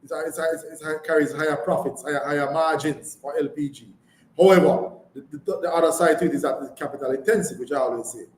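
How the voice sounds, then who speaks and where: A man's voice: fairly high pitch, fast. Echoey Jamaican man, company executive. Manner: emphatic, repeats words and phrases. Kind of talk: live earnings call answers. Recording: echoey room, background noise.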